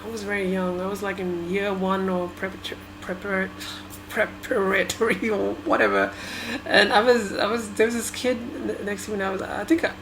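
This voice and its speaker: A woman from Sri Lanka whose first language is Tamil, talking through her thoughts in a halting, hesitant way with many restarts. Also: mid-range voice, little pitch movement, background noise. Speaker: vlogger. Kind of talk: personal reflective monologue.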